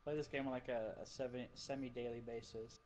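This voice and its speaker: A man's voice: medium pitch, steady tone, brisk, crowd noise. A man joining a casual round-table chat, giving laid-back, brief replies.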